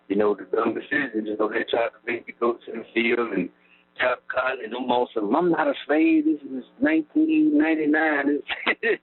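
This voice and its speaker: A man's voice: mid-range voice, creaky. A middle-aged man telling his story on a phone call from prison, rambling with lots of fillers. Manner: rambling, lots of fillers, laughs wryly at times. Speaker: incarcerated interviewee. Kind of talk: casual storytelling about his past. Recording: tinny prison phone line with hum.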